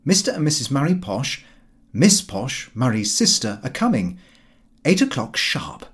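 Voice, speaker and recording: A man's voice: medium pitch, sing-song, unhurried, fairly bright tone. A man voicing a cocky, flippant young man with breezy, impudent slang in a comic Victorian audiobook.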